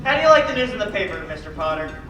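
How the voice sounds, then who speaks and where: A young man playing a flustered, absent-minded older uncle with a halting, theatrical delivery in an old-fashioned radio play. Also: high voice, very animated, sharp tone, a little hiss or hum, exaggerated voice. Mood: gloating, jolly. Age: young adult. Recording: echoey live stage, far mic, faint music.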